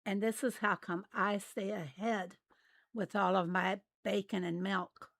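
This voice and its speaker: A woman with a raspy voice, chatting casually with viewers while she plays a farming game. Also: mid-range voice, fairly even intonation, no echo. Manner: unhurried, chatty, reads out and answers viewer messages. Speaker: game livestreamer. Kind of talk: casual livestream chat while playing.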